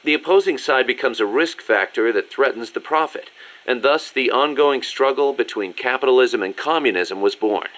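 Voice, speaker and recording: A man's voice: medium pitch, normal speed, fairly bright tone, close to an everyday voice, low-quality recording. A man playing a businesslike, articulate military second-in-command explaining things in a video game. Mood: serious, explanatory. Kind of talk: scripted video game briefing dialogue.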